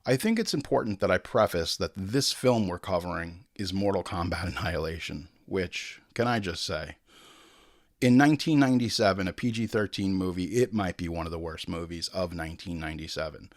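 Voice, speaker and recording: A man's voice: low voice, fairly quick, no room sound, clean studio sound. A middle-aged American man from Long Island hosting a film podcast in a casual, joking, hyperbolic monologue with some swearing. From Long Island, New York, United States.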